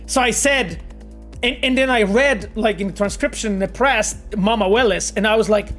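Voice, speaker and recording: A man's voice: sits high, normal speed, soft background music. A man with a Polish accent chatting casually on a live stream as he reads out viewer messages, rambling with lots of fillers and repeated words. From Poland. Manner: animated and rambling, with frequent fillers, repeats and laughs. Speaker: game developer streaming and reading chat.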